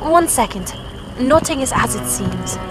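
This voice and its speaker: A woman voicing a mysterious, apologetic young swamp witch in a cartoon video game, earnest, halting and dramatic. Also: sits high, lively intonation. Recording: loud music behind the voice.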